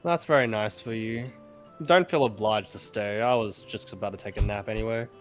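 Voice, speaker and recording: A man reading the lines of a deadpan, sleepy girl from a visual novel. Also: lowish voice, normal speed, close to an everyday voice. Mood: dry, dismissive. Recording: guitar music behind the voice.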